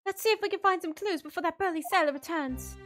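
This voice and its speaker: A woman voicing a polite, earnest young male lawyer who is quick to get exasperated, in a fan dub of a game, with game music behind. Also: very high-pitched, fairly bright tone.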